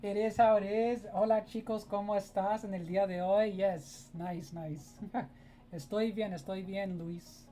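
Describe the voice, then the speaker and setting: A woman streaming while she draws, chatting casually and greeting viewers by name. Her voice is mellow and warm, sitting at a middling pitch. Spanish is her first language. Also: steady tone, unhurried, poor audio. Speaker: art streamer. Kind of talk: casual live-stream chat while drawing.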